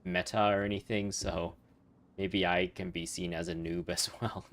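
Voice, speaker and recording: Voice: low-pitched, fairly even intonation, unhurried, a little hiss or hum. A game streamer giving casual livestream commentary in a playful, giggly, rambling way. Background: game music.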